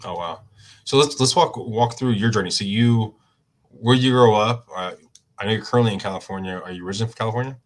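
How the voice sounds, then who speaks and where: A man hosting a casual interview, asking questions in a halting way with restarts and short backchannels. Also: lowish voice. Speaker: interview host.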